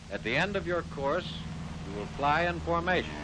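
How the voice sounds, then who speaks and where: A man's voice: lively intonation, moderate pace. An American man reading scripted narration for a 1940s military training film, instructing the trainee directly. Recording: hissy 1940s film soundtrack, rumbling noise.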